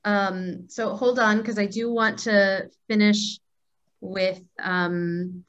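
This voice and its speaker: A woman's voice: medium pitch, steady tone, takes it slowly, highs cut off. A woman hosting an online event, talking casually and off the cuff over a video call. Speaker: event host.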